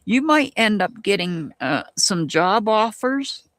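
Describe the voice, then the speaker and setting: Slow, musing reader with a Southern US accent. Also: mid-range voice, sing-song, fairly bright tone, no echo, clean studio sound. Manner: slow, musing, restarts often. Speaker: tarot reader. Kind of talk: live-stream tarot reading, answering chat.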